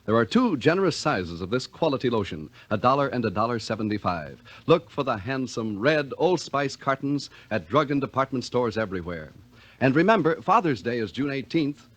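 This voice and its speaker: A man's voice: medium pitch, big pitch swings, normal speed, fairly bright tone. A man with an American accent announcing on 1950s radio in a polished, scripted sales delivery. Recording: vintage radio recording.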